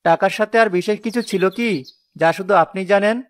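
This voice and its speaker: A man's voice: high voice, fairly quick, no echo, clean studio sound, close to an everyday voice. A man voicing a poor, honest porter in a cartoon moral tale, earnest and plaintive. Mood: earnest, humble. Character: poor honest male porter, proud, wronged, humble. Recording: music behind the voice.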